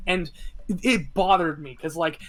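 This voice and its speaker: A man co-hosting a casual podcast, halting and repeating his words, with some swearing. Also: a little high, fairly bright tone. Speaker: podcast co-host. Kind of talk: casual chat.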